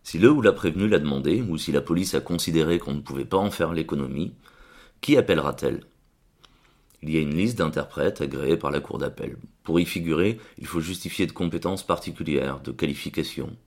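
A man reading a scripted radio column in a steady, explanatory way. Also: a bass voice, brisk.

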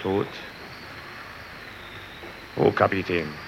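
Terse, commanding sea captain, measured and slow, for a radio play with heavy background noise. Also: male voice, low-pitched, fairly even intonation, highs cut off, heavily acted. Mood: grave, questioning. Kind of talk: German radio play acting. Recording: noisy old radio drama recording.

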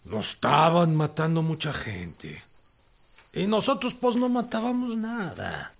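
An actor with a Mexican accent playing a feisty, folksy old revolutionary veteran with a raspy, creaky voice in a radio drama. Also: male voice, on the high side, huge pitch swings, unhurried, heavily acted. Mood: animated.

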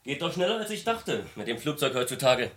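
A man's voice: medium pitch, big pitch swings, fairly quick, a bit bright. An actor playing a polite, chatty male visitor in a German radio crime play. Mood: affable.

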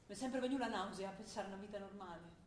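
A woman's voice: medium pitch, lightly acted. An actress playing a young idealistic revolutionary student who argues with her father. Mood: earnest. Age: young adult. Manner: earnest, quick, rising to fervent argument. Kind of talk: stage play acting. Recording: roomy stage recording with faint noise.

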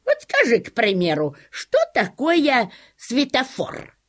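A woman's voice: fairly high pitch, huge pitch swings, slow pace, exaggerated voice. An actress playing a bossy, sly old fairy-tale witch with a folksy, coaxing, commanding way of talking, in an audio play. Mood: teasing, sly. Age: older.

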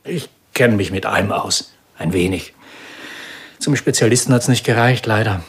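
An actor playing a polite, self-deprecating private detective in a radio drama, laconic and dry, with a husky voice. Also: male voice, on the low side, moderate pace, fairly bright tone, no echo. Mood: dry, appraising.

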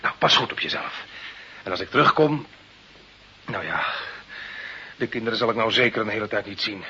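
An actor in a Dutch radio play playing a wily village crime boss, mock-humble and slyly defiant with authority. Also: male voice, on the low side, expressive, moderate pace, bright, forceful tone, breathy edge, heavily acted. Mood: subdued. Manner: deferential on the surface, slyly defiant. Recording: muffled, hissy old radio recording.

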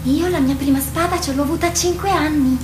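An actress playing a boastful, protective older sister warrior in a radio drama, teasing and admonishing. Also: female voice, sits high, quick pace, background noise, natural voice.

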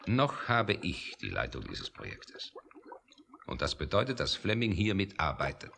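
Radio-drama project chief; creaky voice, animated pitch, insistent, authoritative yet tired. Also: male voice, unhurried, a little hiss or hum, exaggerated voice. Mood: firm, exasperated. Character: weary authoritative male project director, insistent. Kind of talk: 1980 German radio drama acting.

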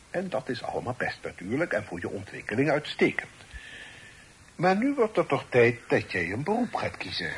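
A man acting in a Dutch radio play as a worldly, authoritative middle-aged father, speaking in a measured, formal way. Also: mid-range voice, a bit bright, a little hiss or hum. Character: authoritative, worldly father advising his grown son. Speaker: radio drama actor.

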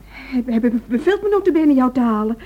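Worried wife, highish swooping voice, attentive, radio drama, dull recording. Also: female voice, lively intonation, fairly quick, warm tone. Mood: flustered, anxious. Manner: attentive, hesitant when flustered. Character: caring, anxious wife of a troubled man. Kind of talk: radio play acting. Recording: muffled, noisy old radio recording.

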